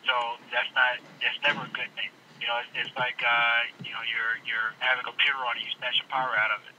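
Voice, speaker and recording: Male, customer service type, sharp bright tone, polite, halting explainer, faint noise on a phone call. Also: mid-range voice, level tone. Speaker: electronics store tech support worker. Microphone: telephone. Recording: noisy telephone line, prank call recording.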